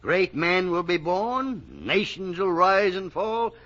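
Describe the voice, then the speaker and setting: Genteel 1890s suitor, excitable, forceful bright tone, 1940s radio sketch style, dull vintage recording. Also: male voice, high voice, slow. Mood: excited.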